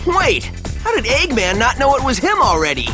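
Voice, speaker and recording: A man's voice: very high voice, huge pitch swings, moderate pace, full character voice. A man voicing a cocky, youthful cartoon hero in a video game, with a breezy, taunting manner. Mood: puzzled, breezy. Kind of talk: animated video game cutscene acting. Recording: music under the voice.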